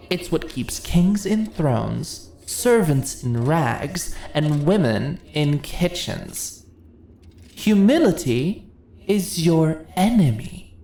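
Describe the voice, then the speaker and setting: Woman acting a low-voiced, sly immortal dungeon mistress. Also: darkish tone, heavily acted. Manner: teasing, condescending, theatrically persuasive, uses pet names. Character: sly, commanding immortal dungeon mistress, scornful of mortal morals. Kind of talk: scripted fantasy ASMR roleplay. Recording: echoey room with faint noise.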